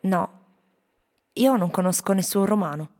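A woman's voice: mid-range voice, measured pace, darkish tone, a little hiss or hum. A woman reading translated interview answers as a scripted radio voice-over. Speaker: radio programme voice-over narrator. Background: music.

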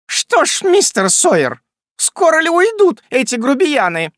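A man voicing a furious middle-aged landlady with a shrill, screeching voice. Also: big pitch swings, normal speed, no echo, studio quality. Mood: furious shrieking.

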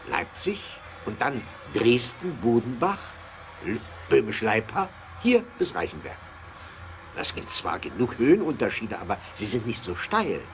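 A man's voice: on the low side, a bit bright, slight background noise, heavily acted. A man acting an adventurous aristocratic motorist in a German radio play, thoughtful, confident and resolute.

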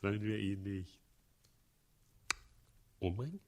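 A man playing a scheming, fawning, half-mad old mayor in a 1960s German radio play, theatrical and erratic, swinging between whispers and shouts. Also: fairly low pitch, slow. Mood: scheming, excitable.

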